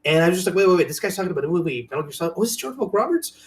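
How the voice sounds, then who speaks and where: A man's voice: high-pitched, sing-song, racing. A man hosting a casual podcast chat about games and movies, with a playful, jokey way of talking. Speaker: podcast host.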